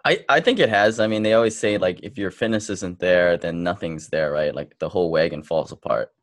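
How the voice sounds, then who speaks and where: Man in his 30s, low pitch, dry sound, laid-back, a bit tipsy. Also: a little fast, no room sound. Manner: laid-back, uses lots of fillers like "you know". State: tipsy from drinking beer. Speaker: podcast host. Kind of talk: casual chat.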